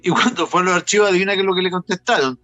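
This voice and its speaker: A man's voice: high-pitched, rapid-fire, sharp tone, no room sound, highs cut off, soft background music. A man with a Chilean accent hosting a casual interview, chatting colloquially and telling anecdotes with animation. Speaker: interview host.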